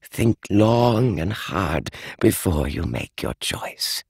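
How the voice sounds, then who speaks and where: A man reading the part of an elderly grandmother addressing her family in a will video, solemn and measured. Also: low voice, expressive, creaky, darkish tone, breathy edge, no room sound, heavily acted. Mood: grave. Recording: dry studio audiobook.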